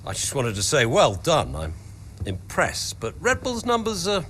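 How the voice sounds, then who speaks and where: A man playing a measured, demanding racing team owner in a video game cutscene, speaking haltingly, with faint noise. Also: fairly high pitch, wildly swooping pitch, a bit bright, exaggerated voice. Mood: measured, appraising.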